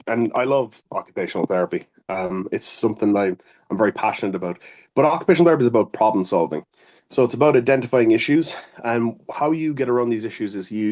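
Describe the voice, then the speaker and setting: A man's voice: on the low side, a little mellow. An Irish man, an occupational therapist, giving earnest, rambling interview answers full of restarts and fillers.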